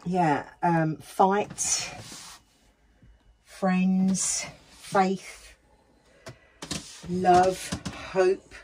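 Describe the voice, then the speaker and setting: A woman in her fifties speaks softly and slowly, chatting casually. She sits in a cramped narrowboat cabin, with a faint hiss behind her voice. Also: on the low side, flat delivery. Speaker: vlogger. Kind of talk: casual chat. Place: narrowboat cabin, small indoor space.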